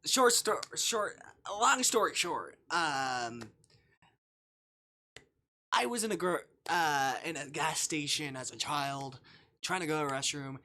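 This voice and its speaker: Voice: medium pitch, lively intonation, slow pace, pauses often, bright, forceful tone. A streamer chatting casually and reading a visual novel aloud, rambling, jokey and easily sidetracked.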